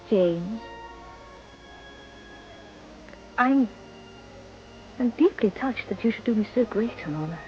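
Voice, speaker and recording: A woman's voice: medium pitch, highs cut off, lightly acted. An actress playing a decorous, determined young well-born Englishwoman in a period radio drama, with refined, formal English diction. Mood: polite, hesitant.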